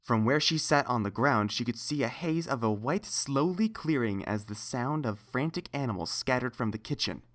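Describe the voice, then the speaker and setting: A man's voice: mid-range voice, expressive, moderate pace, few pauses, fairly bright tone. A man reading a scripted story as its narrator.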